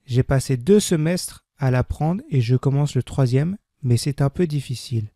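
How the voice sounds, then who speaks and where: Creaky-voiced man reading French slowly. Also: medium pitch, warm tone, no room sound, clean studio sound. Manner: repeats each sentence, deliberate diction. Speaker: French language teacher. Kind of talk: slow scripted reading for learners.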